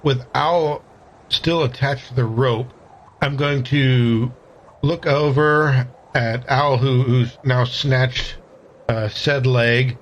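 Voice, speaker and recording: A man's voice: mid-range voice, steady tone, slow, muffled recording. A man talking casually as a player in a tabletop game, tentatively thinking his moves through aloud.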